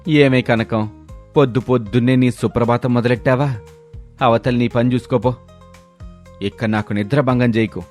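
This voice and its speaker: A man's voice: medium pitch, fairly even intonation, moderate pace, pauses often, darkish tone, soft background music, lightly acted. A man playing a lazy village husband who complains and wheedles his way out of things in a storybook tale. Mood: grumpy. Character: lazy, whining village husband full of excuses. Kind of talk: storybook character voice.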